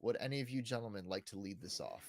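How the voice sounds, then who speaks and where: A man's voice: lowish voice, quick pace, a little mellow, no room sound. A young man hosting a casual gaming podcast, speaking informally and conversationally with plenty of filler words. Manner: informal, conversational, repeats "like" often. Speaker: podcast host. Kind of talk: casual chat about video games.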